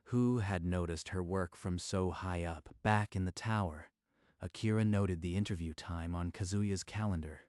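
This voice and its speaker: Deep-voiced male story narrator. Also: moderate pace, no echo, clean studio sound. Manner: steady, even storytelling.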